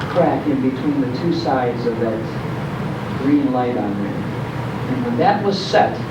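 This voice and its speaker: An older American man gives a nostalgic talk in a somewhat high voice. He stresses words theatrically and pauses for effect, like a practised storyteller. The recording is distant and echoey, with clear background noise. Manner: theatrical, deliberate emphasis, dramatic pauses. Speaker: speaker, old-time radio historian. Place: indoor arts council program.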